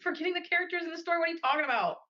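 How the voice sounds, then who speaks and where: Woman, quick challenging talker, mid-pitched, casual phone argument, dull lo-fi call audio.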